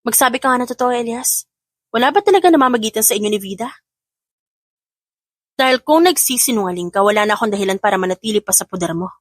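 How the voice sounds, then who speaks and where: A woman's voice: on the high side, expressive, fast talker, pauses often, dry recording, clean studio sound. A woman with a Filipino accent voicing a proud, guarded businesswoman in an audiobook romance, firm and direct. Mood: cold.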